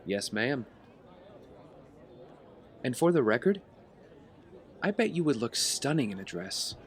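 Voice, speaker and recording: Man playing earnest young heir and secret vigilante, audio drama, lively mid-range voice, sincere. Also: medium pitch, takes it slowly, some chatter behind, a little hiss or hum. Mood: earnest, reflective.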